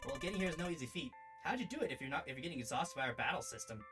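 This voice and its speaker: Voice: mid-range voice, animated, very fast, natural voice. A streamer voicing an eager, inquisitive, friendly character from a visual novel, with music behind. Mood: curious. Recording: music in the background.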